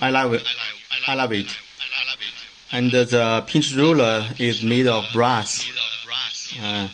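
Slow-speaking man demonstrating a gadget. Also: medium pitch, rough recording. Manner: halting, non-native English phrasing. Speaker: demonstrator of a hand-made device. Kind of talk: informal product demonstration.

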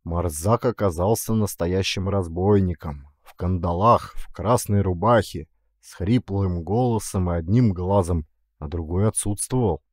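A man narrating a literary story as an audiobook actor, with deliberate, dramatic phrasing and weighty pauses. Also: low-pitched, darkish tone, no room sound, studio quality.

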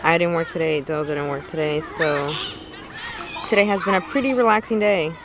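A woman's voice: sits low, moderate pace, background noise. A young woman and mother vlogging to viewers in a chatty, upbeat way, holding the camera at arm's length. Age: young adult. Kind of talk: casual family vlog. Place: store, park and gym. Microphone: handheld camera at arm's length.

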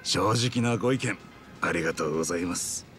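A man's voice: deep voice, fairly bright tone, soft background music. An actor playing a courteous, composed male chef of a traditional restaurant in a Japanese game dub, speaking politely and with measure. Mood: humble, reflective. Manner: measured, polite shopkeeper speech.

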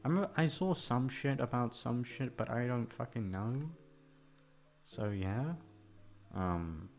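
A man's voice: medium pitch, a little slow, creaky. A man chatting casually to his listeners in a hesitant, rambling, self-deprecating way, swearing freely, a little back from the microphone. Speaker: ASMR voice actor and channel host. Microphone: microphone, sitting a little back from it. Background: soft guitar music.

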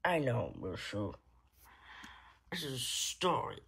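A man improvising bedtime stories in a halting, rambling, playful way. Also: medium pitch, very animated, creaky, fairly bright tone. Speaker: storyteller. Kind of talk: improvised bedtime storytelling with toys.

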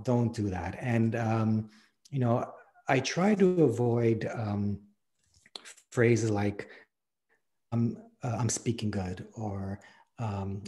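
A man's voice: on the low side, a little mellow. A man in his forties who stutters openly, hosting a casual interview and wandering onto tangents with frequent laughs. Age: 40s. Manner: rambling, tangent-prone, laughs often. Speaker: podcast host and stuttering advocate.

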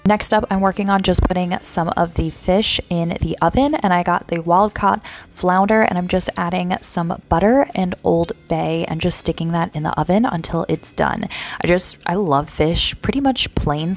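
A young woman in her twenties chatting casually to camera in her home kitchen, with lots of fillers like "like" and "you know.". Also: lowish voice. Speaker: vlogger. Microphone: camera in front of her at close range.

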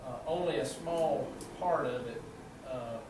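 A man with a Southern American accent presenting a proposal to a town council, halting and full of fillers, recorded from across a small meeting room. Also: mid-range voice. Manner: halting, many fillers and restarts. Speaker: city utility official presenting to council. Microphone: far room camera mic. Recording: echoey room from far off, background noise.

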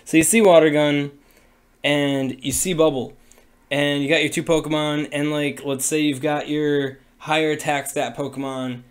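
Raspy young man streaming casually. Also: fairly high pitch, steady tone, normal speed. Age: young adult. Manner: rambling, many fillers and restarts. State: hoarse from a cold. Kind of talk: casual livestream explainer. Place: small room indoors. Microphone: webcam at desk.

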